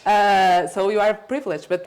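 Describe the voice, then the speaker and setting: A woman's voice: medium pitch, level tone, moderate pace. A woman hosting an interview in English, which is not her first language, speaking enthusiastically with small grammar slips. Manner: warm, enthusiastic, non-native grammar slips. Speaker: podcast host. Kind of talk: casual interview.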